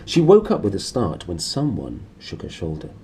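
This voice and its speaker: A man's voice: sits low, huge pitch swings, moderate pace, mellow, slight background noise. A man in his thirties reading a storybook aloud expressively in a small room, recorded on a nearby camera. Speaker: storybook reader. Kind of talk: expressive read-aloud narration.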